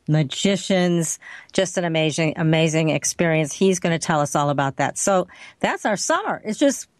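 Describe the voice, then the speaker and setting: A woman's voice: mid-range voice, dry recording. A woman hosting a radio talk show, bubbly and effusive as she introduces upcoming guests and her guest.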